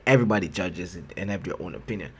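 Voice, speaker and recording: A man's voice: low-pitched, racing, slightly rough. A sleep-deprived man with a Jamaican accent, chatting casually as he reacts to a video, often restarting his sentences. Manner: relaxed, chatty, trailing self-corrections. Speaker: YouTube reactor.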